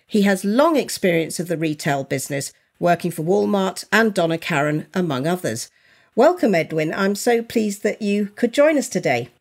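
A woman co-hosting an interview podcast, introducing the guest and asking questions in a measured, articulate way. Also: on the low side, sing-song. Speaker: podcast co-host.

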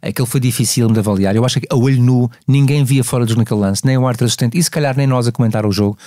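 Fast-talking Portuguese male refereeing analyst. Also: mid-range voice, warm tone, dry recording, clean studio sound. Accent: European Portuguese. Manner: didactic, poses and answers own questions. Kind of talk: conversational football analysis.

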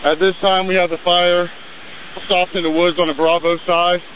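A man's voice: high-pitched, level tone, normal speed. A man working as a firefighter, giving terse status reports over a two-way radio. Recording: tinny radio, faint vehicle noise.

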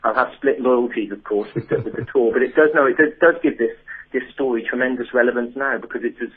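An English author speaks quickly and with enthusiasm, often circling back to restart his sentences. His voice is low and husky. It comes through a thin, tinny phone line. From England. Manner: enthusiastic, talkative, frequent restarts. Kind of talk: phone interview. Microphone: telephone.

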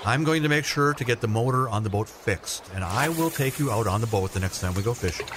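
A man's voice: mid-range voice, expressive, fairly quick, natural voice. A man playing a patient, encouraging grown-up teaching a child to fish in a radio play. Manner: patient, coaching. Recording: clear mechanical and outdoor noise.

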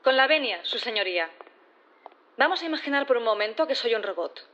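A woman's voice: a little high, expressive, fairly quick, a bit bright, dull audio. An actress playing a shrewd, insistent prosecutor in a sci-fi audio drama, formal and rhetorical in her questioning. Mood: theatrical, formal. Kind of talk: audio drama courtroom acting.

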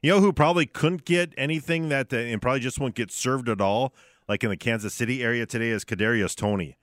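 A man's voice: medium pitch, fast, fairly bright tone, no room sound. A man co-hosts a casual sports radio show from a studio. His voice sits in the middle of the male range and sounds dry, with little room around it.

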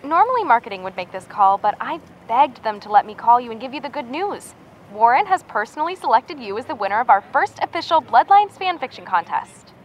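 Upbeat personal assistant, highish voice, gushing, polite, dull phone-call sound, faint noise. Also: female voice, big pitch swings, fairly quick. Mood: excited, friendly. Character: friendly, gushing female assistant to a TV creator. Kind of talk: scripted audio drama phone call.

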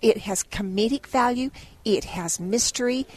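A woman's voice: on the high side, moderate pace, darkish tone. A woman guest chatting on the radio and promoting shows in a gushing, emphatic way. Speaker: theater representative and guest.

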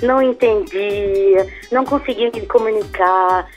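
A woman's voice: medium pitch, unhurried, soft background music. A woman in her twenties calling into a radio show by phone, chatting casually and a little shyly. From Germany. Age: 20s. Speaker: caller. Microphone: phone line.

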